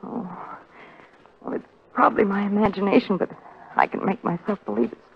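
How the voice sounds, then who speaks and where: An actress playing an anxious but plucky sleuth's companion with theatrical exclamations in a 1940s radio drama. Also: female voice, medium pitch, fairly even intonation, brisk, creaky, a little hiss or hum, close to an everyday voice. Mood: frightened. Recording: muffled 1940s radio broadcast.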